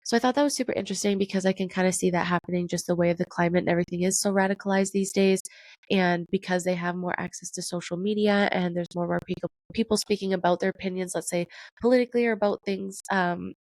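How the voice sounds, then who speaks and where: Young woman, nasal and stuffed-up from a cold, lowish voice, dry close sound, chatty podcast host. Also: steady tone, quick pace, a little mellow, no echo. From Canada. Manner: chatty, conversational, reads articles aloud with asides. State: sick with a nasal, congested voice. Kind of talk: casual news commentary podcast.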